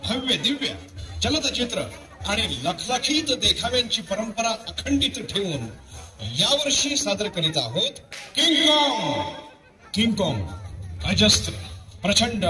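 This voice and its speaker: A man giving scripted, dramatic narration as a festival show announcer, in declamatory, measured phrases. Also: high voice, poor audio. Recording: clear music behind the voice.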